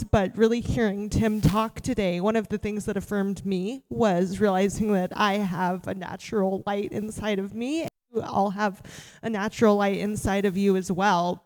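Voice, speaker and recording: A woman leading a church group through a guided meditation, speaking in an earnest, gentle, heartfelt way. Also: mid-range voice, long reverb tail, rough recording. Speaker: worship leader.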